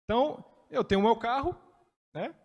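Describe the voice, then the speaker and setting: High-voiced man teaching Bible study. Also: normal speed, darkish tone, big-room sound. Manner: chatty, explains with everyday examples, frequent "né".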